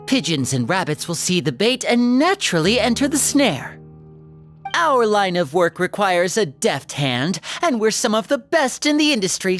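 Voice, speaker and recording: A performer voicing a confident, charming young male stage magician in a game, smooth and playful. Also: sits high, a bit bright, full character voice. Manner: smooth, playful, self-assured.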